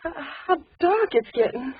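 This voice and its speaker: An excitable, girlish young woman, quick to exclaim and easily scared. Her voice is very high. The old radio drama recording is muffled, with faint noise behind it. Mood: anxious. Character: excitable, nervous young woman on holiday in the wilderness. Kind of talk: 1940s radio acting.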